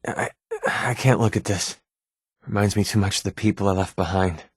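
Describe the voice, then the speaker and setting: A man's voice: on the low side, fairly even intonation, moderate pace, slightly rough, no echo, studio quality. A man with an American accent playing a sarcastic, wisecracking young mercenary companion in a video game. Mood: somber, reflective. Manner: sardonic, wisecracking, colloquial. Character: wry, sarcastic young male wasteland mercenary. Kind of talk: video game companion dialogue, naturalistic acting.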